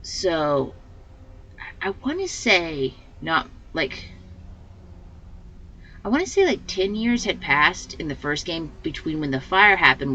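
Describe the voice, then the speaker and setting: A woman with a slightly hoarse voice hosting a let's play, giving casual commentary and thinking aloud as she plays. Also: low-pitched, fairly bright tone, dull audio, a little hiss or hum. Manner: rambling, thinking aloud with fillers.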